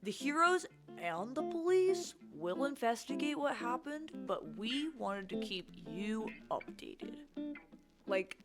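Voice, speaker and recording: A woman's voice: a little high, big pitch swings, slow pace. A woman playing a band member who gives fans a scripted, reassuring update in an audio drama. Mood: reassuring, composed. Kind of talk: scripted public announcement to fans. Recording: guitar music under the voice. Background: plucked guitar music.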